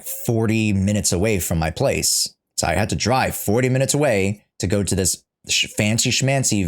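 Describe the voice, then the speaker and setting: A young man chatting casually as a livestreamer, reacting to a game story in an informal, joking, sweary way. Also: low-pitched. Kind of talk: casual livestream chat and commentary.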